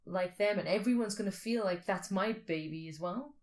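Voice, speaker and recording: A woman's voice: mid-range voice, normal speed. A woman chatting casually and playfully as a podcast co-host, thinking aloud in an informal way. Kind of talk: casual speculative chat.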